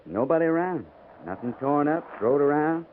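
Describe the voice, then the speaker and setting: Rustic army scout sergeant, played by a man: plainspoken, respectful, a bit high-voiced, tinny old radio sound. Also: expressive, normal speed, natural voice. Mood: grave, puzzled. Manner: terse, rustic, respectful reporting. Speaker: actor in a radio western. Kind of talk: 1950s radio drama acting. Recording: tinny 1950s radio recording, faint noise.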